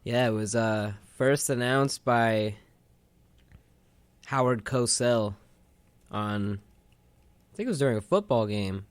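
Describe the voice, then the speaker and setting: A man's voice: mid-range voice, takes it slowly, pauses often, smooth, no room sound. A man co-hosting a radio show, chatting casually in a rambling storytelling way. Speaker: co-host of a retrospective radio show. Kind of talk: casual chat.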